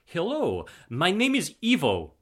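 Man acting a polite Eastern European young man, higher-pitched. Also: wildly swooping pitch, a little slow, husky, full character voice. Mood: polite greeting. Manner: courteous, earnest, foreign phrasing.

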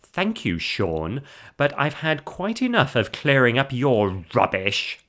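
A man's voice: mid-range voice, very animated, slow, fairly bright tone. An exasperated wife and mother as a male narrator plays her in a comic story. She scolds with sarcasm, her voice jumping up and down. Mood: dry sarcasm.

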